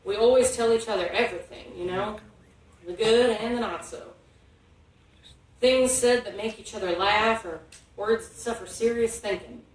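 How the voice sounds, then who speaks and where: A man's voice: sits high, moderate pace, voice pushed up, close to an everyday voice. A woman voicing a guarded, loyal rural man with folksy, ungrammatical speech in a story read aloud. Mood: earnest, reminiscing. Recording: echoey hall, distant, faint noise.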